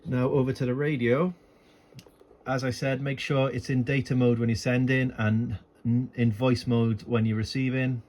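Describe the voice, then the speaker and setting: A man's voice: mid-range voice, level tone, moderate pace, a little mellow. A man giving a casual, unscripted tutorial as a radio hobbyist, thinking aloud in an informal, hesitant way into a phone. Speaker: amateur radio hobbyist making a tutorial video. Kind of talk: casual unscripted screen and gear walkthrough. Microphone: handheld phone filming the setup. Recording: noisy amateur phone recording.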